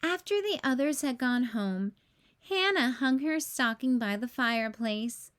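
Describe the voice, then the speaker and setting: High-pitched, animated woman reading to children. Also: measured pace. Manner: expressive, careful storytelling delivery. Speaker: teacher-style read-aloud host. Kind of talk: children's storybook narration.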